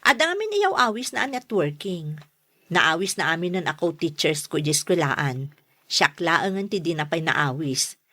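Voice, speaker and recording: An actress in an Ilocano radio drama playing a skeptical, scolding elder sister with a chiding, matter-of-fact manner. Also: female voice, sits low, sing-song, normal speed, sharp tone, exaggerated voice. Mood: wary, explaining.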